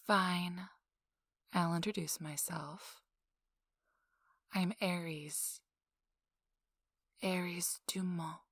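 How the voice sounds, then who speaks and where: Woman playing a slow, sultry, teasing socialite. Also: fairly low pitch, dry recording, clean studio sound, exaggerated voice. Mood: mock pouting.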